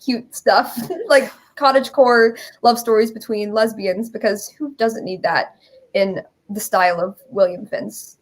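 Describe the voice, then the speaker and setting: A woman's voice: medium pitch, fairly even intonation, unhurried. A young woman, a composer being interviewed, chatting casually in a giggly, effusive way. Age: young adult. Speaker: interview guest, musical theater composer. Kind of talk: casual chat.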